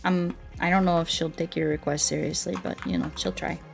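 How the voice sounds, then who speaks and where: A woman's voice: sits low, fairly even intonation, fairly quick, gravelly. Chatty, playful woman streaming a game. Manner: playful, rambling, talks to chat. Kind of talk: casual livestream chat while playing a game. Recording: music behind the voice. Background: music.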